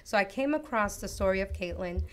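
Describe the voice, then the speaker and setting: A woman's voice: medium pitch, animated, fairly quick. Earnest, reflective woman from Costa Rica. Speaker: guest author. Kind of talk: personal testimony in an interview.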